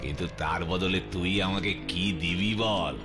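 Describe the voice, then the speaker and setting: A man's voice: deep voice, moderate pace, close to an everyday voice. A man voicing a cunning, greedy, haughty magician in a cartoon. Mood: sly, haggling. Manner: haughty, chanting spells, cackling. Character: cunning greedy male magician, bargaining and boastful. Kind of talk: cartoon voice acting. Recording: loud music behind the voice.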